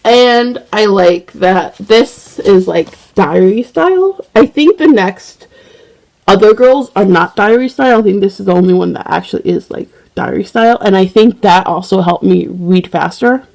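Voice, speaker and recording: A young woman talks at an unhurried, drawn-out pace, rambling through her thoughts with plenty of fillers and restarts. She sounds a little stuffy from a cold and coughs now and then. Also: medium pitch, animated, vocal fry, darkish tone, rough recording. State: slightly congested with a cold, occasional cough. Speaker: book vlogger. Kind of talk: casual chat.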